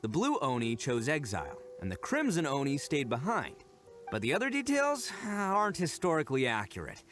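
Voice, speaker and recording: Proud young male oni, video game character, emphatic, earnest, very lively intonation, slightly high pitch. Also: fairly quick, soft background music. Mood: frank, explanatory. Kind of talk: scripted video game voice acting.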